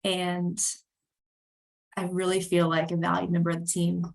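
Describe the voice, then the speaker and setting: Female hand therapist guest, Southern accent, lowish pitch, enthusiastic and laughing. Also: monotone, moderate pace, creaky. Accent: Southern American. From Southern United States. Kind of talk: casual podcast chat.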